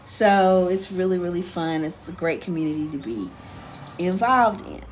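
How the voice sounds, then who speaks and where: An American woman chatting casually on a livestream as host, answering viewers in an animated, sassy, colloquial way. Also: lowish voice, normal speed. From United States. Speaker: live stream host. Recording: clear background noise, ambient sound.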